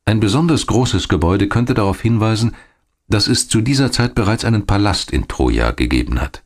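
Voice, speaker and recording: A male documentary narrator with a deep, low-pitched voice. He reads scripted material in a measured, factual way, setting out each sentence as information. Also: animated.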